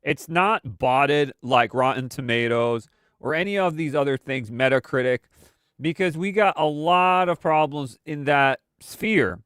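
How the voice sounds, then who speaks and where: A man's voice: a little high, a little slow, fairly bright tone, clean tone, no room sound, studio quality. A man hosting a weekly live stream with casual, rambling commentary full of fillers. He sounds congested from a cold he is still getting over. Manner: rambling with many fillers and restarts.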